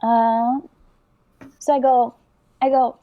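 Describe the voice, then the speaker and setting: A woman playing a tabletop role-playing game, talking casually and improvising in a halting, rambling way. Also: fairly high pitch, level tone, dull audio.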